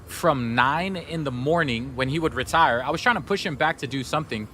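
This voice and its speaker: A man's voice: mid-range voice, a little fast, pushed and bright, a little hiss or hum. A man whose first language is Somali, chatting casually and upbeat with his live-stream audience while gaming. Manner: chatty, upbeat, talks straight to chat.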